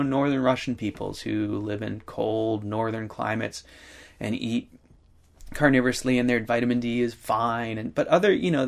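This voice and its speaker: Gravelly man talking in rambling fillers. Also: mid-range voice. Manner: rambling, halting, many fillers. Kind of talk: casual conversational talk.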